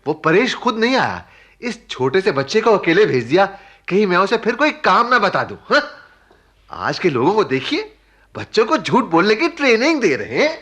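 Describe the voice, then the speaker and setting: A fussy middle-aged father in a radio drama. His husky voice sits fairly high and swings up and down as he exclaims and repeats himself. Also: sing-song, normal speed, a bit bright, exaggerated voice. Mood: indignant. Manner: exclaiming, repetitive, grumbling. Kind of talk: radio play acting.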